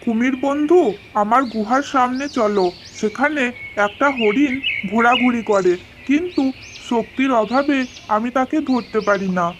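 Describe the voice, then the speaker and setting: A woman's voice: fairly high pitch, a little slow, highs cut off, lightly acted. A woman voicing a foolish, friendly old tiger, hungry, in a children's cartoon story. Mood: weary, complaining. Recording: clearly noisy, birdsong behind. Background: birdsong and nature sounds.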